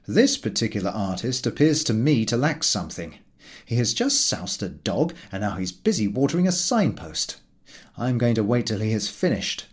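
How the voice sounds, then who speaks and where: A man reading the part of a wary, wry Victorian gentleman, drily indignant and wordy, in an audiobook. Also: on the low side, sing-song, moderate pace. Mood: wry caution. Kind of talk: audiobook character dialogue.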